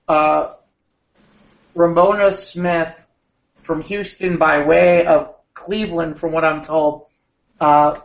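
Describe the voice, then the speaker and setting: Guy with a gravelly worn voice just chatting, roomy echo, kinda muffled lo-fi. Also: a little high. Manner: rambling, restarts sentences often. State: gravelly after a long weekend of talking. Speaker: podcast co-host. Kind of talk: casual podcast chat. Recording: echoey room, dull lo-fi sound.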